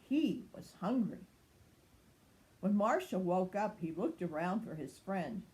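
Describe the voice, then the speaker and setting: A woman's voice: fairly low pitch, animated, takes it slowly, darkish tone, rough recording. An older woman, a grandmother, reading a children's storybook aloud.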